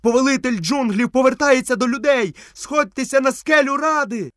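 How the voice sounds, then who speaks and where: A man's voice: very high-pitched, moderate pace, dry recording, clean studio sound, pitched above the performer's own voice. A man voicing an agitated grey wolf who calls out urgently in a storybook reading. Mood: urgent, alarmed. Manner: calling out loudly, urgently.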